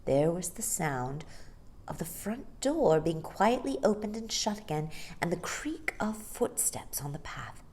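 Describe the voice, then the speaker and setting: Female storytime reader for children's fiction: slightly low register, highly varied intonation, expressive narration. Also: unhurried.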